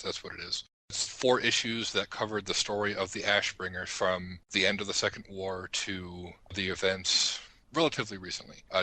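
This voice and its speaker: A candid man with a very low voice that still cuts through with a forceful, bright tone. He chats casually as a podcast panelist, giving frank opinions, and the sound is dry and close. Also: no echo, poor audio.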